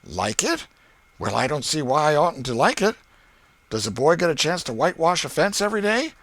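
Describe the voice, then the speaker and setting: A crafty storybook schoolboy, acted out by a man, talks in folksy country dialect. His pitch is raised and swings widely as he hems and haws, playing reluctant and unbothered. The sound is close and dry. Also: very animated, a little fast, a bit bright, no room sound, full character voice. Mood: feigned nonchalance. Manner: folksy rural dialect, drawling hesitations. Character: sly, carelessly cool schoolboy feigning reluctance.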